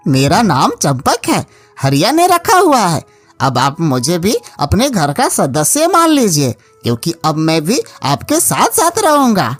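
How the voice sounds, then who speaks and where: A woman's voice: fairly low pitch, huge pitch swings, a little slow, no room sound, faint music behind, full character voice. A woman voicing a friendly, loyal talking monkey in a cartoon moral story, chatty and full of exclamations. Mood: earnest, devoted.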